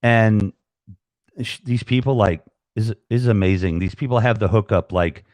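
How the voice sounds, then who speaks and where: A man's voice: fairly low pitch, moderate pace, darkish tone, no room sound. Male host, casual stream chat, rambling, dry close sound. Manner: rambling, many restarts and fillers.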